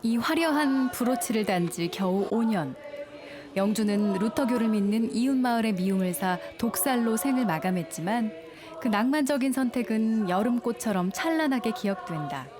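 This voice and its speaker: A woman reading scripted travel documentary narration in a calm, measured way, recorded as a studio voice-over. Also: a little high. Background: music.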